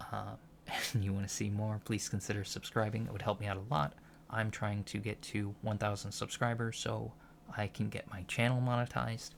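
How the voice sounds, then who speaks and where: A man speaks softly and casually, as an ASMR creator addressing his audience. His voice sits fairly low and is full of fry. The delivery is hesitant and informal, with faint noise behind it. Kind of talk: soft-spoken casual address to viewers.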